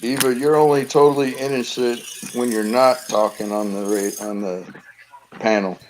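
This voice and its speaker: A man's voice: medium pitch, steady tone, normal speed, slight background noise, rough recording. Man teasing friends on a stream panel. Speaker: panel guest. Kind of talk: casual group chat on a live stream.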